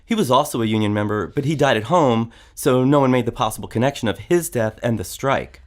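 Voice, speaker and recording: A man acts an earnest, down-to-earth fellow in an audio drama. His delivery is plain and conversational, at an average pitch. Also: fairly quick, close to an everyday voice. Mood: serious.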